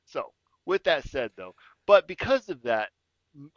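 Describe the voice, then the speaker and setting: A man co-hosting a music reaction show, chatting casually with an emphatic, halting delivery. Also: a little high, very animated, a bit bright, no room sound, lo-fi sound.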